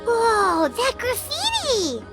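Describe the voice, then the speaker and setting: A woman voicing a small, childlike fairy companion in an anime-style video game, eager and earnest with a squeaky, exclamatory delivery. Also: huge pitch swings, very slow, heavily acted. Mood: excited. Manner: refers to self in third person, exclamatory. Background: music.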